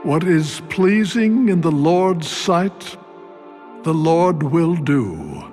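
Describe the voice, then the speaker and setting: A weary, nearly blind old priest speaks slowly and solemnly, and his fairly high voice swings through a wide range. The audio drama has music playing clearly beneath him. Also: sing-song, darkish tone, exaggerated voice. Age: older.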